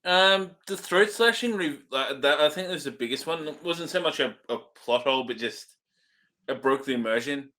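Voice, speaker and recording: Bright-voiced man in casual chat. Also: on the high side, lively intonation, normal speed. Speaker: podcast co-host and film reviewer. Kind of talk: casual film review chat.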